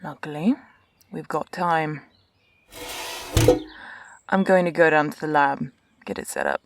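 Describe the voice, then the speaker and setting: A woman plays a world-weary female doctor in a science fiction audio drama. Her voice is low for a woman, and she talks in a dry, composed way with wry understatement and careful, measured phrasing. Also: heavy background noise.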